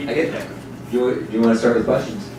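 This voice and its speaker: A man's voice: medium pitch, recorded from a distance. A man moderating a live theatre talk, speaking to the room as host. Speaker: moderator of a post-reading talk. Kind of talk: live event hosting. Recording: very echoey, noisy hall from afar.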